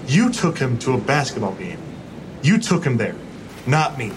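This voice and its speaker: A man's voice: medium pitch, sing-song, normal speed, natural voice. An actor playing a wounded, hot-tempered ex-husband and grieving father in an audio drama, with an emotional, volatile delivery. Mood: accusing. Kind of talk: full-cast audio drama dialogue. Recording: clearly noisy outdoor ambience.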